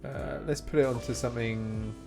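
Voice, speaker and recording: A man's voice: mid-range voice, steady tone, moderate pace, creaky, over music. A man live streaming a game, chatting casually in a rambling, jokey way. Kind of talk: casual gaming chat.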